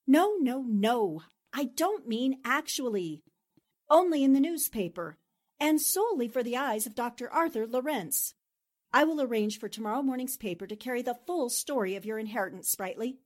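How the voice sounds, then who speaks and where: A woman acting as a shrewd newspaperwoman in an old-time radio drama. Her voice sits fairly high, and she speaks briskly and articulately, taking charge with decision. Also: expressive. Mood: exasperated, brisk.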